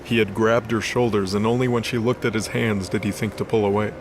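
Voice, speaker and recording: A man's voice: lowish voice, flat delivery, a little fast, background noise. A man reading scripted story narration for an audio drama.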